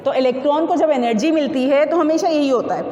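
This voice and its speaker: A woman's voice: high voice, fairly quick, warm tone, muffled recording. A woman physics teacher lecturing through numerical problems in an instructive, repetitive way, often asking questions and answering them herself. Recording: echoey reverberant room with faint noise.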